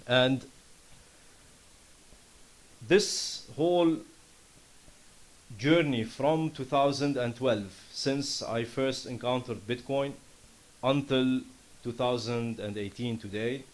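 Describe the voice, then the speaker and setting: A man from Syria with a Lebanese accent giving an earnest, impassioned startup pitch and answering questions. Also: slow pace, long pauses. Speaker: startup founder presenting. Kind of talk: conference pitch and Q&A.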